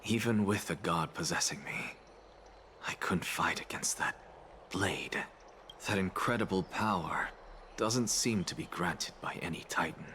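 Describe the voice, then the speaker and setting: A man playing a stern, aloof professor in an anime-style game, speaking in a dry, dismissive, deliberate way. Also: low voice, sharp tone, slight background noise. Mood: grave, dry.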